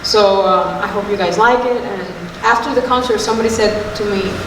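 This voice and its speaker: A woman's voice: medium pitch, steady tone, fairly quick, slight background noise. A Cuban woman, a singer on a panel, speaking English with a Cuban accent, hesitant with many ums. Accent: Cuban Spanish. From Cuba. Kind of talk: panel discussion, personal anecdote. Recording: echoey hall from far off.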